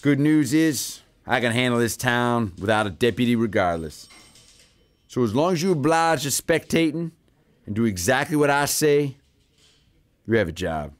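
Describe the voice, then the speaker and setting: An actor playing a gruff, domineering Texas sheriff in an Old West audio drama, with a folksy, swearing manner. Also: male voice, medium pitch, animated, normal speed, close to an everyday voice. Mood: dry, authoritative. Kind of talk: western audio drama acting.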